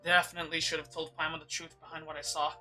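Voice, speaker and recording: A man streaming a game, reacting casually and musing aloud in a hesitant, halting way. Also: on the high side, monotone, over loud music.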